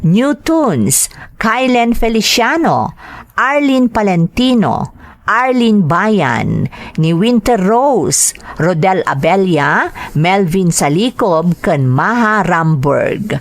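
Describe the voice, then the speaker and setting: Lady podcast host reading out listener mail and greetings, slow pace, pitch goes up and down a lot. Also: very animated, no echo. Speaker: podcast host and story reader.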